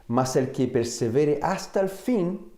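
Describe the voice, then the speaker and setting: A man from the United States, a native English speaker, preaching a sermon in a deliberate way, pausing and searching for words. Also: a little high, expressive, mellow. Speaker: pastor. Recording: roomy, echoing sound.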